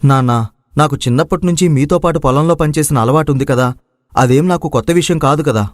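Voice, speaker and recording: A man's voice: fairly high pitch, a little fast, no echo, clean studio sound, close to an everyday voice. A man acting an earnest, aggrieved young village son in a moral story drama. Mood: earnest, hopeful. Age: young adult.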